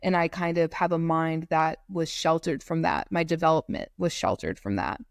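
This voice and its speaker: A woman's voice: sits low, moderate pace, creaky, no room sound. Rambling twenty-year-old woman, close dry mic. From North Carolina, USA. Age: 20s. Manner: rambling, many "like" fillers. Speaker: guest, law student. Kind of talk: casual podcast conversation. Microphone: computer mic, remote call.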